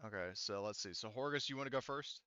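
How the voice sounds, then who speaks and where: A man improvising casual tabletop game narration as game master, in a halting way. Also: medium pitch, pushed and bright, clean tone, dry recording, muffled recording.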